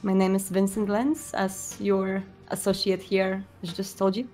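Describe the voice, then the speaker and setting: A woman voicing a courteous, gracious male vampire prince in a tabletop game, with formal, measured and polite speech. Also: medium pitch, little pitch movement, a little mellow, soft background music, lightly acted.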